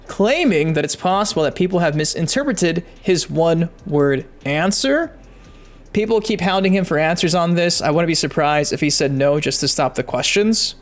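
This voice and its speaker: A man hosting gaming news commentary, chatty and emphatic, talking directly to his viewers. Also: high voice. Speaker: YouTube gaming news host. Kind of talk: energetic video commentary, reading articles aloud.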